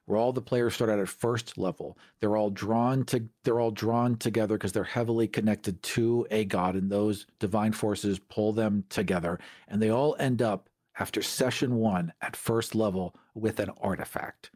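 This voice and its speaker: A man's voice: on the low side, fairly even intonation, normal speed, hardly any pauses, darkish tone, no room sound, clean studio sound. A man co-hosting a podcast, talking casually about tabletop games. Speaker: podcast co-host.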